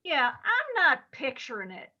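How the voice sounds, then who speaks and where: Lady doing a cocky wild west bank robber, high bright voice, super hammy, radio play. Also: huge pitch swings, unhurried, dull audio, full character voice. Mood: grumbling. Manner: hammy, melodramatic.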